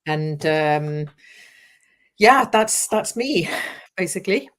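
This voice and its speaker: A woman's voice: low voice, expressive, slow. A middle-aged woman, a crime author talking as a guest over a video call, thoughtful and hesitant with many fillers. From Denmark. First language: Danish. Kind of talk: casual interview talk.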